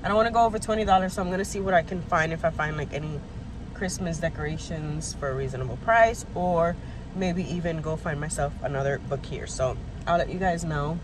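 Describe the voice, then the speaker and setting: A woman's voice: deep voice, a little fast. A young adult woman with an American accent, talking casually to camera as a vlogger on a handheld phone in a car. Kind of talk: casual chatty vlog talk to camera. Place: inside a parked car. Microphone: handheld phone at arm's length. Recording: phone in a car, faint background noise.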